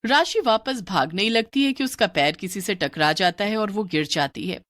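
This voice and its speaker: A woman's voice: medium pitch, animated, moderate pace, fairly bright tone, no echo, clean studio sound. Woman narrating a horror tale. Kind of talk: scripted storytelling narration.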